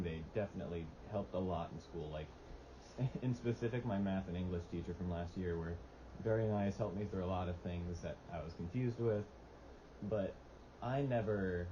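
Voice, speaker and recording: A teenage boy rambling casually about his classes on a student podcast, with frequent restarts and "like.". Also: sits low. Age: teens. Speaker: podcast co-host. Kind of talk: casual chat. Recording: dull lo-fi mic with steady hiss.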